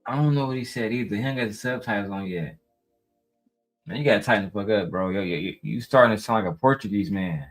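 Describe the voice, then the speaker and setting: A man's voice: lowish voice, fast, faint music behind. A man co-hosting a podcast, blunt and joking, talking in slang and swearing freely. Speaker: podcast co-host. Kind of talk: casual banter.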